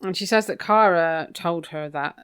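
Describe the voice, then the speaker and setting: A woman's voice: fairly low pitch, normal speed, fairly bright tone, clean tone. A British woman co-hosting a podcast, casually recapping a book in an informal, gossipy, wry way. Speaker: podcast co-host.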